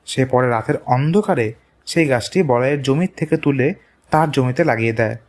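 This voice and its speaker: A man's voice: mid-range voice, normal speed, darkish tone. A man narrating a scripted moral tale for a cartoon. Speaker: story narrator. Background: music.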